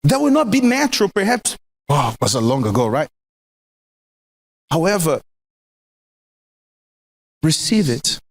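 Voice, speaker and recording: High-voiced Brazilian-accented man, emphatic. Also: very animated, unhurried, gravelly, long pauses, in a large hall. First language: Portuguese. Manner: emphatic, repetitive, rhetorical questions. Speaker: speaker giving marriage advice. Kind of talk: recorded motivational talk.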